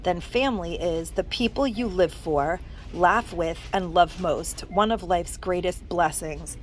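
A woman's voice: on the low side, unhurried, a little hiss or hum, low-quality recording. A woman in her forties, chatting enthusiastically as she films herself shopping in a store on her phone. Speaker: shopping vlogger. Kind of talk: casual shop-with-me narration. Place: inside a discount store, walking the aisles. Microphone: handheld phone camera.

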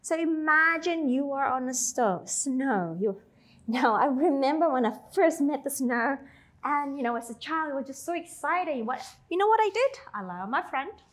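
A woman in her thirties with an Australian-tinged accent, guiding a Pilates class with slow, soothing cues and chatty asides. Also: high-pitched, expressive. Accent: Australian-tinged English. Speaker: Pilates instructor. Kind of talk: guided exercise instruction.

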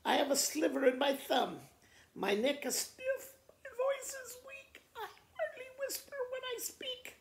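A man's voice: extremely high pitch, a little slow, vocal fry, noisy background, pitched above the performer's own voice, exaggerated voice. A man voicing a melodramatic little girl who is faking sickness, whiny and self-pitying as she lists complaints. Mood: feeble, tearful. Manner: whiny, breathless listing of complaints. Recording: roomy indoor echo.

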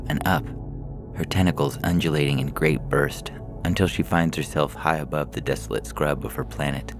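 A man's voice: extremely low pitch, creaky. A man reading a science fiction story aloud as a scripted narration.

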